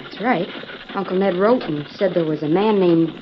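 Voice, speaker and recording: A woman's voice: lowish voice, normal speed, lightly acted. A woman playing a polite, earnest young woman traveling West, in old-time radio western style. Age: young adult. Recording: muffled, noisy old radio broadcast.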